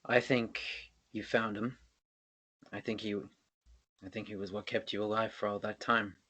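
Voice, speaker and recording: A man playing a gentle, heartbroken partner in a table read of a drama, speaking softly and haltingly. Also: on the low side, level tone. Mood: hurt, probing. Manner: halting, soft-spoken. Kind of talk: acted screenplay table read.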